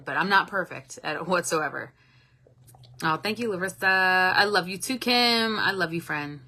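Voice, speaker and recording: A woman's voice: lowish voice, sharp tone. A woman in her late thirties hosting a casual livestream, talking candidly and reading out viewer comments, with a chatty manner and the odd swear word. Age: 30s. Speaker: livestream host.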